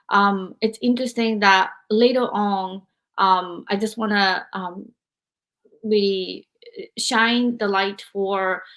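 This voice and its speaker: A woman's voice: medium pitch, fairly bright tone. A woman, hosting an interview earnestly, with many "um"s and "like"s. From Japan. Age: 40s. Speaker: podcast host. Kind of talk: interview conversation.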